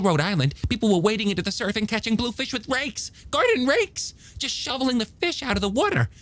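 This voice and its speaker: A man's voice: sits high, pitched above the performer's own voice. A man playing an eager, bookish young male scientist in an audiobook, stammering a little as he explains things emphatically and testily. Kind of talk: audiobook character dialogue.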